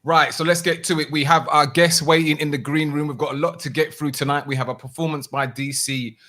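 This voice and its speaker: Bright-toned male co-host. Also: on the high side, little pitch movement, a little fast. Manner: jokey, stumbles over names. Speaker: podcast co-host. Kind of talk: live show hosting.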